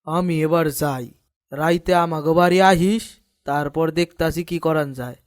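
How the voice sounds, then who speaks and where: A man's voice: on the high side, steady tone, moderate pace, natural voice. A man voicing a sly, greedy older village headman in a cartoon, speaking in an East Bengali dialect with a self-important, conspiratorial manner. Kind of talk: cartoon dialogue acting.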